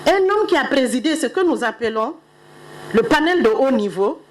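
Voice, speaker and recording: A middle-aged woman speaking on behalf of an official, giving a solemn, measured ceremonial address. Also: high voice, animated, slight background noise. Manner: measured, solemn, stately delivery.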